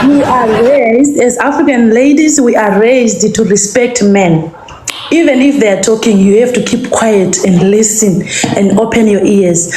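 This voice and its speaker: A woman from Zimbabwe talks slowly and scornfully in a fairly high voice. She sounds like she is in an echoey room, with faint noise and some clicks behind her. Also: darkish tone. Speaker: livestream participant. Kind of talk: casual livestream complaint.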